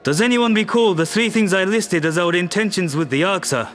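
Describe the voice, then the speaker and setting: A man voicing a calm, firm human world leader who speaks in a measured, persuasive way. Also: high-pitched. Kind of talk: audio drama acting.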